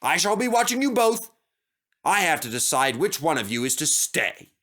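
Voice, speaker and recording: A man's voice: on the high side, very animated, normal speed, fairly bright tone, pitched above the performer's own voice. A man voicing a stern, dignified railway controller who speaks in a pompous, formal, clipped way. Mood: stern rebuke.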